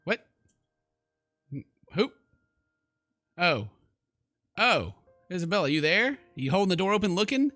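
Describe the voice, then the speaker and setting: A man's voice: high-pitched, sing-song, unhurried, long pauses, pushed and bright, no echo, studio quality, soft background music. A man giving casual, reactive gameplay commentary in a mocking, jokey manner. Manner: mocking, jokey, mimics characters. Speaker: gaming video host.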